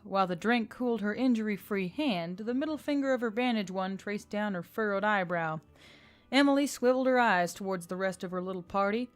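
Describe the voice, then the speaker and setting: Woman narrating an audiobook over music. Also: medium pitch.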